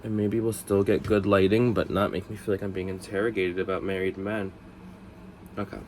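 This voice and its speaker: A man with a lowish voice, a little stuffed up from a cold, talks his way through a casual storytime at home. He rambles, drifts off topic and teases himself along the way. A faint hiss sits under the voice. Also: level tone, fairly quick, a little mellow. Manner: chatty, rambling, self-mocking asides. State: congested from a cold. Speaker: vlog host.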